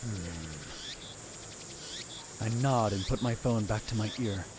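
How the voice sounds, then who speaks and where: A man voicing a dramatic young self-styled mad scientist, narrating in the first person in a hushed, grave, theatrical way. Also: fairly low pitch, slow pace, background noise. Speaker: narrator and lead in fan dub. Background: music.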